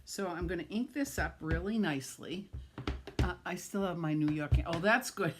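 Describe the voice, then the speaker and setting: A woman's voice: lowish voice, moderate pace, over music. A middle-aged woman with a New England accent, chatting and gushing as she demonstrates card making on a live stream and greets viewers by name.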